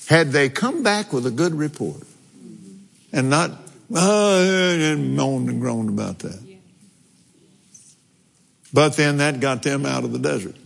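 A man preaching in a folksy, unhurried way, chuckling through asides and reading scripture aloud. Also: fairly high pitch, gravelly, in a large hall.

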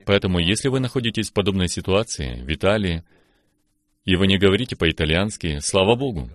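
Very deep-voiced preaching man. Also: brisk. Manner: affirming, steady, frequent short exclamations of praise. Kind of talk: preaching conversation.

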